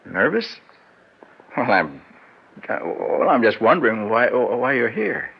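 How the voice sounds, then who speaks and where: A man's voice: medium pitch, big pitch swings, takes it slowly, creaky, breathy edge, heavily acted. An actor playing an ambitious, earnest businessman in an old-time radio drama, sometimes hesitant in his delivery. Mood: flustered. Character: ambitious, earnest businessman recalling an awkward youthful romance. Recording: muffled, noisy vintage radio recording.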